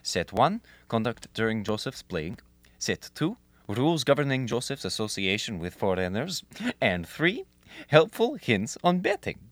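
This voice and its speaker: A sinister Soviet secret policeman from a comic radio play, performed by a man. The voice is medium in pitch and very animated. He is theatrical and insinuating, a self-serving schemer, captured in a dry studio sound. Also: unhurried, no echo. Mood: ingratiating.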